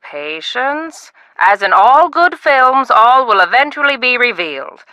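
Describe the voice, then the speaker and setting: A secretive, theatrical old film star from a mystery game. She speaks in a grand, teasing way with bright tone and very animated pitch. The sound is dry and close. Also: a little slow, no echo, dull audio, full character voice. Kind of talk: video game voice acting.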